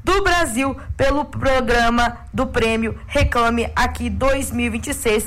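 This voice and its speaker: A Brazilian woman delivering a brisk sports news segment on the radio, talking straight to listeners. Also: on the high side. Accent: Brazilian Portuguese. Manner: brisk, lively, addresses listeners as friends. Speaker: sports reporter.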